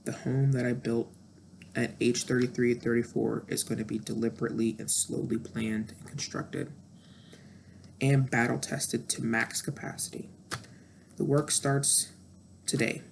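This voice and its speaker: A woman's voice: extremely low pitch, little pitch movement, measured pace, gravelly, a little hiss or hum. A woman in her thirties talking candidly and introspectively in a reflective personal monologue, with frequent fillers. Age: 30s. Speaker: vlogger.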